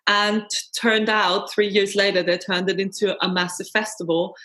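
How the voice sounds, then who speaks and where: A woman's voice: mid-range voice, little pitch movement, normal speed, fairly bright tone. A woman in her thirties from Austria, a festival organiser and tour manager, talking about her work in a chatty, enthusiastic way on a webinar. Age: 30s. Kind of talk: webinar Q&A answers.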